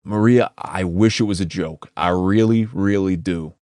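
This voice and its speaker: A man doing a frantic, shouting man. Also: sits low, a little slow, no echo, clean studio sound. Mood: urgent, pleading. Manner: shouting, urgent, swears. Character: frantic man warning family of rising dead. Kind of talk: audiobook character voice.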